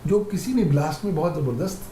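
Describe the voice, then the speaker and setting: A man giving expert commentary in an interview, measured, deliberate and formal, mixing in English technical terms. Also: fairly high pitch. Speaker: cyber security expert guest. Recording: echoey room with faint noise.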